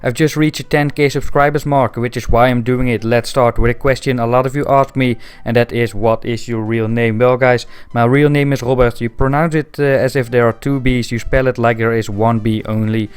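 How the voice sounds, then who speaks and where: A man in his twenties from the Netherlands with a Dutch accent, answering viewer questions in a casual voice-over, matter-of-fact with frequent pauses. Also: medium pitch, fairly even intonation, clean tone. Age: 20s. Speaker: gaming video creator.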